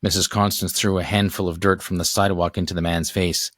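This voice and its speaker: Male storybook narrator, deep voice, dry close recording, reading scripted adventure fiction. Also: little pitch movement, brisk, fairly bright tone, no room sound. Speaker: author reading own book aloud.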